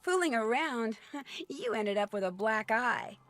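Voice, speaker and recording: A woman playing a curious, persistent young female detective in a video game, speaking clearly and inquisitively. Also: on the high side, lively intonation, a little slow, fairly bright tone. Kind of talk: scripted game dialogue.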